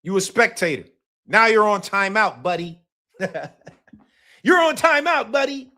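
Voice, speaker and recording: Bright, high-voiced man ranting emphatically. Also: sing-song, takes it slowly. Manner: emphatic, repeats himself, profane, bursts into shouts. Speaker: host of a live boxing talk stream. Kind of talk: casual live-stream commentary.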